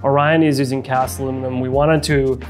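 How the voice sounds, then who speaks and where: A man with a middle-pitched voice explains technical product details as an interview guest. He talks conversationally and explains step by step, often dropping in "you know". Faint music plays behind him. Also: flat delivery, fairly quick. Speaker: loudspeaker company representative, interview guest.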